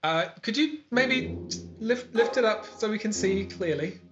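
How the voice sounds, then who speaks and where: A man's voice: sits high, lively intonation, measured pace. A man in his thirties playing a courteous, slightly hesitant prince in a comic pantomime. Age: 30s. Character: polite, awkwardly regal young prince. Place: living room, video call. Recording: dull sound, music behind.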